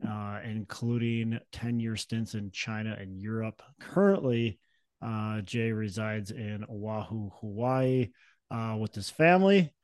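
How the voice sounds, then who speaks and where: A man's voice: on the low side, slow, a little mellow, no echo. A man hosting an interview, introducing his guest in an upbeat way with frequent fillers. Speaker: podcast host.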